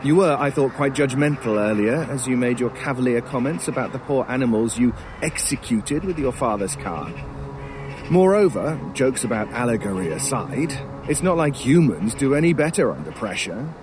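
An actor playing an urbane, sardonic crow in an audio drama, wordy and mockingly polite in a pompous way. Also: male voice, medium pitch, animated, moderate pace, natural voice. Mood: sardonic, needling. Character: urbane, sardonic male talking crow, gleefully macabre scavenger. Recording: clear outdoor noise with cawing crows. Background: outdoor ambience, crows, vehicles.

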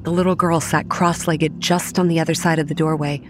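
A young woman acting as narrator of a horror audio drama, recounting grim childhood memories in a measured, matter-of-fact way. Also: deep voice, little pitch movement, background noise, close to an everyday voice. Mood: grave, measured. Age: young adult. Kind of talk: scripted first-person horror narration. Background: soft music.

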